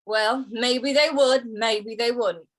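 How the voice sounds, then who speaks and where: A woman's voice: a little high, slow. A woman acting a plain-spoken, stubborn but easygoing cowpoke in a 1950s-style Western radio drama, with folksy phrasing. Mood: reluctant.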